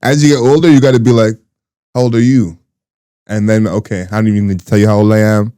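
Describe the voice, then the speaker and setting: A man in his late twenties co-hosting a podcast, relaxed and quick to laugh in casual chat. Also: fairly low pitch, smooth, no echo, clean studio sound. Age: 20s. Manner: laid-back, laughs often. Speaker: podcast co-host.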